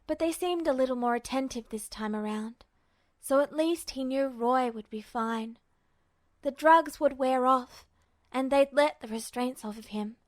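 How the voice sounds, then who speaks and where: Woman, high voice, expressive story narration, dry close recording. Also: no room sound. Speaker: amateur fiction narrator.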